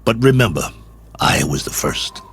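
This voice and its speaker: An actor playing a lonely, wry stranded astronaut colonel, musing aloud in a radio drama monologue. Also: male voice, low-pitched, sing-song, normal speed, background noise. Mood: musing, resigned. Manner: reflective, wry, conversational musing. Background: music.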